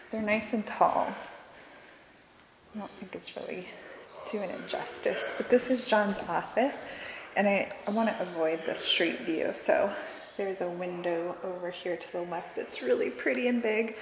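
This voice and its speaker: A woman in her thirties chatting in an upbeat, casual way as she narrates a home renovation tour. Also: medium pitch. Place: empty house rooms under renovation, indoors. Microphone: handheld camera held by speaker. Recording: echoey empty rooms, clear background noise.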